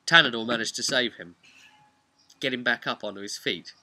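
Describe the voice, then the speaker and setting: A man's voice: medium pitch, normal speed, sharp tone. A man speaking informally as a game master, recounting a tabletop campaign with emphatic asides. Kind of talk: vlog storytelling, informal explanation.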